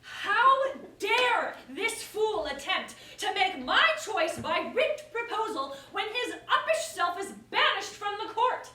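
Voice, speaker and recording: A woman's voice: very high-pitched, big pitch swings, slow, hardly any pauses, pushed and bright, exaggerated voice. A woman playing a proud, principled ruler in a staged verse comedy, declaiming her lines. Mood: indignant, resolute. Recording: distant mic in echoey room, hiss.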